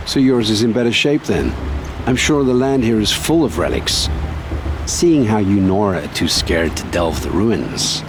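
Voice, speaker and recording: An actor playing a gruff, guarded outlander scout who is dismissive, in a video game cutscene. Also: male voice, fairly low pitch, animated, a little slow, background noise. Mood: curt, dismissive.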